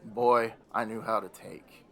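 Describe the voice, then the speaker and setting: A man's voice: fairly low pitch, little pitch movement, slow pace, vocal fry, low-quality recording. A man playing a bitter, weary old Devil in a radio play, grumbling in a theatrical, sardonic way. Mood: boastful.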